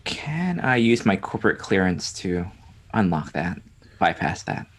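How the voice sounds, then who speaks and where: A woman's voice: very deep voice, big pitch swings, measured pace. A woman chatting casually as a player in a tabletop roleplaying game.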